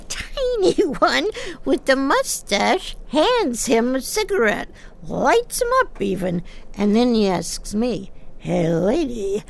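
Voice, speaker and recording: Sixties seamstress, chatty and nosy, folksy rambling, slow, very creaky, audio drama. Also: female voice, on the high side, full character voice. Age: older. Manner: folksy, rambling, halting, chuckling delivery. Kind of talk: naturalistic audio drama acting.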